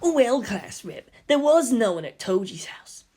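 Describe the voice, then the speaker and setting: A woman's voice: on the high side, sing-song, unhurried, warm tone, husky, voice pushed up, exaggerated voice. Woman voicing a detached, philosophical teenage schoolboy. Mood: detached, resigned. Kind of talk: fan fiction character reading.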